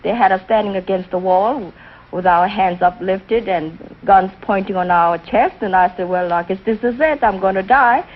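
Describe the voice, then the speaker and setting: A woman's voice: mid-range voice, moderate pace. Woman, quiz contestant, eager, earnest, muffled old recording, faint hiss. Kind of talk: earnest guest interview. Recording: dull noisy 1950s broadcast recording.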